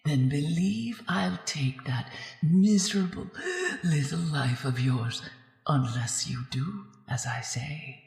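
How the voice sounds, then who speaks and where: A woman's voice: low-pitched, slow pace, gravelly, darkish tone, exaggerated voice. An actress playing a menacing, bloodthirsty undead female ghost in a radio horror drama, theatrical and commanding, often dropping into whispers. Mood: coldly threatening. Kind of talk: old-time radio horror drama acting. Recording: very echoey reverberant hall, music underneath.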